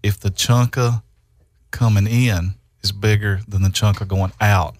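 A man co-hosting a real estate radio show in a studio, chiming in with quick jokes. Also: on the low side, steady tone, no room sound. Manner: joking sidekick, quick quips. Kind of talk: casual radio talk.

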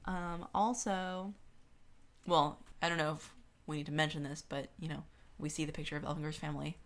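A woman podcast co-host with a voice a little on the low side. She talks through books in a loose, informal way. She often poses questions and works out her thoughts as she speaks. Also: fairly even intonation, fairly quick. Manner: informal, questioning, thinking aloud. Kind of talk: casual chat discussing books.

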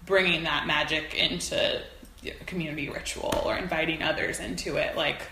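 A woman's voice: sits low, fairly even intonation, normal speed. A woman speaking as an interview guest, earnest and informal, thinking aloud in casual, reflective conversation. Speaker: interview guest, folk practitioner. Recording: roomy echo, faint background noise.

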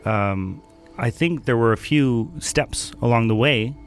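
A man from Denmark, a guest in an interview, answering thoughtfully with many pauses and fillers. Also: mid-range voice, soft background music.

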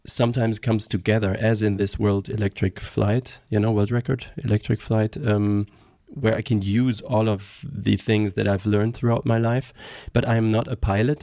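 A man answering interview questions casually, pausing with fillers. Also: lowish voice, creaky, dark tone, no echo, studio quality. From Germany. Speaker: podcast guest.

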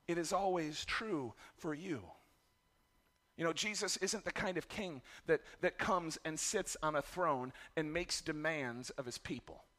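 Raspy-voiced pastor preaching, bright and high. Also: male voice. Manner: self-deprecating, candid about family struggles. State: raspy voice from yelling. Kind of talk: sermon.

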